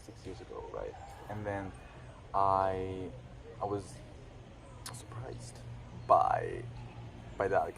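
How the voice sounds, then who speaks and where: Young man, 21, very low voice, faint noise behind, casual vlog, hesitant. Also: gravelly. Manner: hesitant, with frequent fillers and restarts. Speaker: vlogger. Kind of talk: casual reflective vlog talk.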